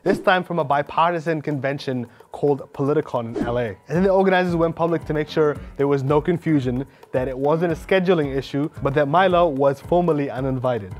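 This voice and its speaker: A man hosting snarky scripted commentary in a sarcastic manner. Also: a little high. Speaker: host.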